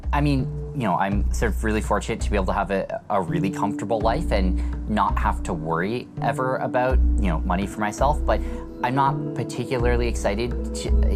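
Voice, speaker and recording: Halting man over background music. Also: lowish voice. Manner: halting, many restarts and fillers. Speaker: interviewee. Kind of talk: archival interview. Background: documentary score music.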